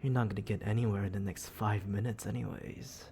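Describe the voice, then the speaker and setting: A man voicing a menacing, teasing demon lord in an ASMR roleplay, breathy and often dropping to a whisper, condescending and coaxing toward a captive. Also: lowish voice, fairly even intonation, dark tone, slight background noise. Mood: cool, coaxing. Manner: slow, taunting, condescending, coaxing.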